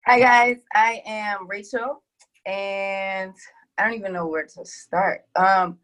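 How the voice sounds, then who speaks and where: A woman's voice: medium pitch, fairly even intonation, takes it slowly, fairly bright tone. Candid woman. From Deep South of the US. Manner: candid, hesitant with many ums. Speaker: guest. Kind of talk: casual introduction.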